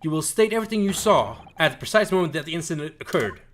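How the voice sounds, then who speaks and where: A man voicing a formal, commanding judge in a video game, giving measured orders to the court. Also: a little high, sing-song, a bit bright, slight background noise.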